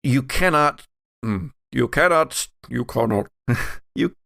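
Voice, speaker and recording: A man voicing a menacing spirit that speaks through a possessed body in halting threats, in a tabletop horror game. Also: mid-range voice, expressive, gravelly, a bit bright, heavily acted.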